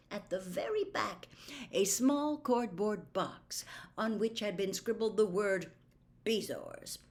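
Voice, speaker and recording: Female audiobook reader, mid-range pitch, expressive storytelling delivery. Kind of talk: expressive scripted story narration.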